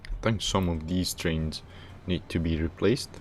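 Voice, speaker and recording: A man from Romania hosting a casual gaming livestream, thinking aloud in a halting, hesitant way. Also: low voice, soft background music. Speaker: livestream host playing a game.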